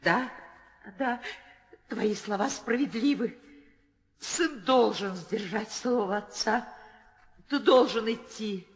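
A woman's voice: a little high, slow pace, long pauses. An actress playing a dignified, grieving mother queen in an old theatrical audio drama. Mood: grieving resolve. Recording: old radio play, hall reverb.

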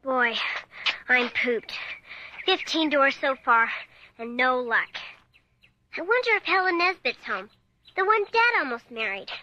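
A woman's voice: sits high, animated, a little slow, pauses often, bright, forceful tone, muffled recording, a little hiss or hum, exaggerated voice. A woman playing an earnest, persistent young girl in a radio drama, youthful, blunt and plain-spoken. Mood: worried, determined. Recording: radio drama with music underneath.

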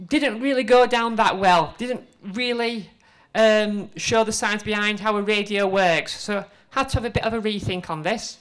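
A middle-aged woman giving an informal conference presentation into a handheld microphone, conversational, halting and self-deprecating. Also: medium pitch. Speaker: conference speaker, museum exhibit developer. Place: indoor presentation room before a seated audience.

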